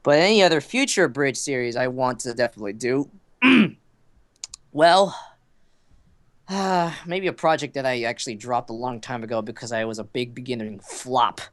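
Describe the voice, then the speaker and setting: A young man chatting casually as a podcast guest, excitable and sweary, poking fun at himself with theatrical bursts. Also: medium pitch, moderate pace. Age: young adult. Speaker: podcast guest, amateur voice actor and fandubber. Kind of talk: casual chat.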